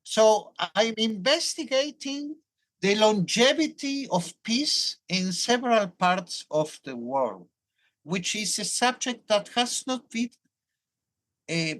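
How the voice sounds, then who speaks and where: A man's voice: high voice, animated, takes it slowly, fairly bright tone. A man in his sixties, born in Argentina, who speaks English with a Hebrew accent and explains his research at length in an academic interview. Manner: thoughtful, explanatory, with restarts. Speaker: professor, guest.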